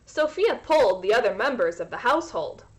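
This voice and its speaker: A woman reading a children's storybook aloud in an expressive, teacherly way, pausing to explain words to young listeners. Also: fairly high pitch, low-quality recording.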